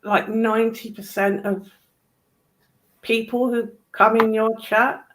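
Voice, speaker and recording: A woman's voice: a little high, flat delivery, slow pace, fairly bright tone, a little hiss or hum, poor audio. A woman chatting casually as a guest on a livestream, blunt and informal in her way of talking.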